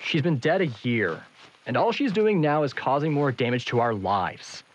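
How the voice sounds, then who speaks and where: A man's voice: medium pitch, expressive, moderate pace, close to an everyday voice. A man as a cocky young man, swooping intonation. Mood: cold, blunt. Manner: smug, teasing, provocative. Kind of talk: naturalistic audio drama acting.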